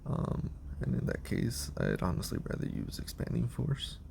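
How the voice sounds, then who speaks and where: A hoarse man with a raspy voice that is giving out, giving a casual, rambling breakdown of his team with lots of ums and restarts. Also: mid-range voice, wildly swooping pitch, normal speed, warm tone, slight background noise. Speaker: video creator explaining his team.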